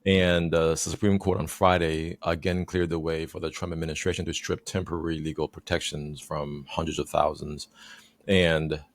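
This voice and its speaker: A man born in Haiti hosts a podcast, speaking in a very low, gravelly voice. He asks his questions in a measured, thoughtful way, padding them with fillers. The sound is dry and close. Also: level tone, dry recording. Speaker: podcast host. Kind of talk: conversational interview.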